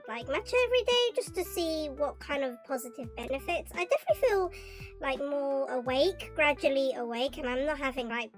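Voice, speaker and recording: High-voiced young woman with a sore throat. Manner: rambling and hesitant, with many fillers. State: slightly sore throat, recovering from a cough. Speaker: art streamer. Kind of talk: casual stream chat. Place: bedroom at home.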